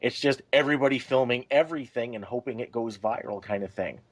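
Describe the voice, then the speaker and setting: Man, average pitch, informal conversational delivery, podcast co-host. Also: fast. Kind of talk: casual podcast discussion.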